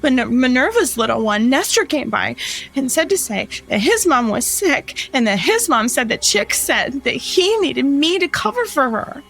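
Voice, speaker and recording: A woman playing a sweet, distraught working mother in an audio drama, speaking in an earnest, halting, fretful way. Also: high voice, big pitch swings, vocal fry, fairly bright tone, soft background music, exaggerated voice. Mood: upset, explaining. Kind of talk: immersive audio drama acting.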